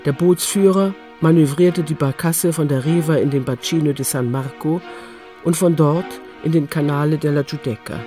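A man's voice: fairly high pitch, moderate pace, dark tone, faint music behind. A man narrating a radio drama from a script as storyteller.

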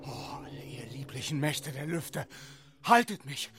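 An actor in a radio play as a desperate whaling ship officer, declamatory and anguished, bellowing orders and praying. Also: male voice, mid-range voice, sing-song, a little slow. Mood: anguished, pleading. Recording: loud music behind the voice.

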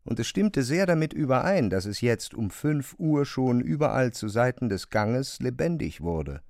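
A man narrating a literary radio drama in a measured, precise way, as a composed observer. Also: medium pitch, big pitch swings, creaky, a little mellow, no echo, studio quality. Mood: measured, observant. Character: male narrator of an absurd bureaucratic tale, observant and composed.

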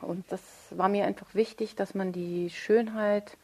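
A woman's voice: medium pitch, fairly even intonation, measured pace, dark tone. A woman speaking as an interview guest and author, explaining her work in a thoughtful, articulate and reflective way.

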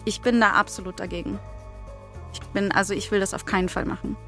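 A woman's voice: mid-range voice, fairly even intonation, a little fast, a bit bright, faint music behind. A woman co-hosting a podcast, debating in a casual but firm and insistent way. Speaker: podcast co-host and radio play author.

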